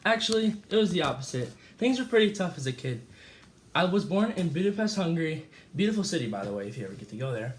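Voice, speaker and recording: A man's voice: a little high, big pitch swings. A young man acting as an easygoing, famous male escape artist who chats through a mock interview, with a slightly raised voice. He stumbles over words and says "uh" a lot. The room around him is echoey. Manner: casual, halting with fillers and slips.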